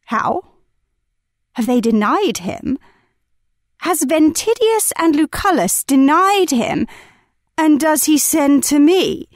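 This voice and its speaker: Woman playing a high, petulant, self-important lord. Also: big pitch swings, takes it slowly, long pauses, dry recording, clean studio sound, full character voice. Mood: affronted. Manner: theatrical, indignant. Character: petulant, self-important Athenian lord, offended false friend. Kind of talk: unrehearsed Shakespeare play reading.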